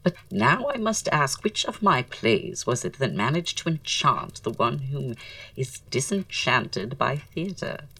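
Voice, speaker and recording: Woman playing a very low, creaky, flamboyant Victorian playwright. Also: sing-song, takes it slowly, bright, forceful tone, dry recording, distant mic, full character voice. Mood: arch, flattered. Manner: theatrical, drawling, arch, florid wording. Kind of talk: audio drama acting.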